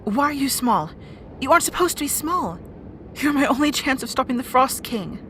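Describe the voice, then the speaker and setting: A woman's voice: high voice, animated, moderate pace, bright, forceful tone, a little hiss or hum. A woman playing a brusque, guarded young rat princess in an audio roleplay drama, bossy and conversational. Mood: brusque, demanding.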